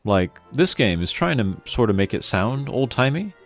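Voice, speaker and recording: A man's voice: medium pitch, sing-song, moderate pace, soft background music. A man giving casual, rambling, reflective commentary on a video game.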